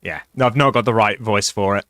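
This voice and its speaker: A man with a low voice hosting a casual co-op gameplay session, chatty and playful, swearing now and then. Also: a little fast, sharp tone, no echo, clean studio sound. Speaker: gaming channel host.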